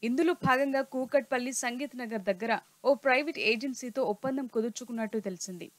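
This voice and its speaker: A woman reads a scripted television news report in a steady, formal manner. Her voice sits a little high, and the recording is close and dry. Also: quick pace, no room sound.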